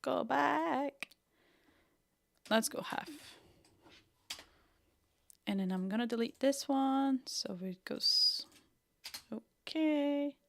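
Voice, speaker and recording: A woman's voice: high-pitched, gravelly. A young woman from Brazil with a Brazilian accent mixed with a Northern Irish one, giving a chatty livestream design tutorial and muttering to herself as she works. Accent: Brazilian with a Northern Irish mix. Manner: chatty, thinks aloud while working, mutters to herself.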